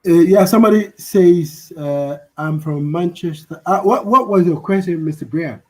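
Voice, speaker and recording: Husky-voiced man hosting a casual livestream. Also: sits high, normal speed. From Africa. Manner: relaxed, repetitive, chatty. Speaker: livestream host.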